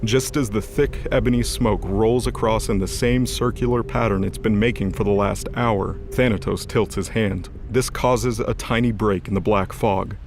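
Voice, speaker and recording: A man's voice: fairly low pitch, steady tone, moderate pace, few pauses, background noise. A man narrating a scripted fantasy audio drama as its storyteller. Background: music bed.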